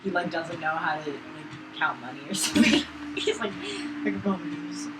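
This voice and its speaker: A woman's voice: low-pitched, moderate pace, music in the background. A woman hosting a let's play with casual, playful commentary on the game. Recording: noisy room recording, no proper mic.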